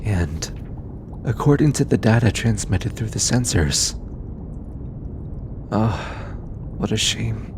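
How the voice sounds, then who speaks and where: Man voicing an anxious alien scientist, low and halting. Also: expressive, heavily acted. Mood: grave, concerned. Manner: hesitant, halting, stammering, sighing. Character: anxious, earnest male alien scientist, self-critical and easily flustered. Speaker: voice actor. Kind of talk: scripted audio roleplay, intimate one-sided dialogue. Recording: clearly noisy, low rumbling background.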